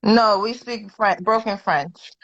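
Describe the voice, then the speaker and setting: A woman's voice: mid-range voice, slow, fairly bright tone, highs cut off. A woman from Dominica who speaks with an American accent, a guest explaining things in a direct, matter-of-fact way. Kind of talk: casual chat.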